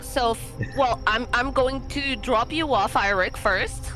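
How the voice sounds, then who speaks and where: A woman's voice: on the high side, unhurried, sharp tone, faint music behind, low-quality recording. A woman playing a tabletop roleplaying game, casually working out moves with the group.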